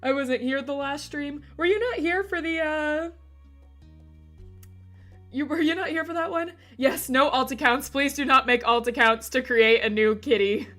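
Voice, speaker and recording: A woman streamer with a slightly hoarse voice, chatting casually and giggling as she talks to her chat. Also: sits high, normal speed, fairly bright tone, soft background music.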